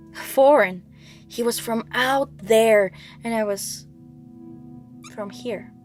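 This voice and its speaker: A woman playing an anguished, haunted young princess of Greek myth in a theatrical audio play. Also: a little high.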